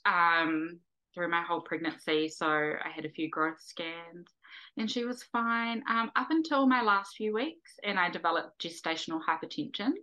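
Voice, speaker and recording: Bright-voiced New mum telling her story. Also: fairly low pitch, low-quality recording. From New Zealand. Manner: relaxed storytelling with fillers and short pauses. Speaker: guest, mother. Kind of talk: casual podcast interview.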